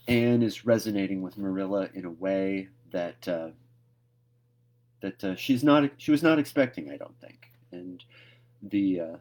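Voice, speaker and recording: A man in his thirties with a fairly low voice. He hosts a read-aloud series, moving between casual chat and plain storybook narration. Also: a little mellow.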